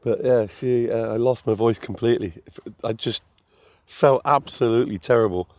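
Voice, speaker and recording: An English man vlogging outdoors at a fishing lake, chatty and friendly, his voice still slightly hoarse as it recovers. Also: medium pitch, normal speed, a little mellow, no echo. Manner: chatty, friendly, laughs easily. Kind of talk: casual outdoor chat.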